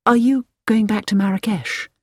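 A woman's voice: medium pitch, fairly even intonation, normal speed, darkish tone, slightly rough, no room sound, clean studio sound, voice pushed up, natural voice. A woman playing an uneasy but strong-minded woman traveler in a storybook, earnest and questioning. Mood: curious.

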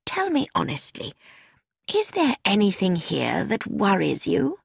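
A woman voicing a shrewd elderly spinster who asks mild, gently probing questions in an audiobook. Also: mid-range voice, wildly swooping pitch, a little slow, vocal fry, slightly rough, dry recording, clean studio sound, full character voice. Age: older.

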